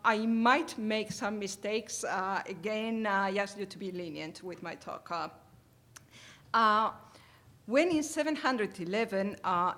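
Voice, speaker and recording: Woman, Spanish accent, conference lecture, formal, hesitant, mid-pitched. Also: a bit bright. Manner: careful, formal delivery with frequent hesitations. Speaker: conference presenter.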